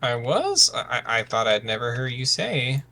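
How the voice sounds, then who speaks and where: A man's voice: medium pitch, sing-song, normal speed, creaky, bright, forceful tone, heavily acted. A man playing a sensitive, anxious misfit son of warriors, hesitant and earnest, often stammering. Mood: nervous.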